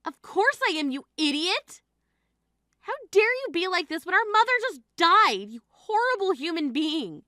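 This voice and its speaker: A woman's voice: a little high, animated, moderate pace, sharp tone, dry recording, studio quality. A woman playing an exasperated, grieving sister who scolds in an incredulous, reproachful way in a dramatized story. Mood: furious. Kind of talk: dramatized phone-call story dialogue.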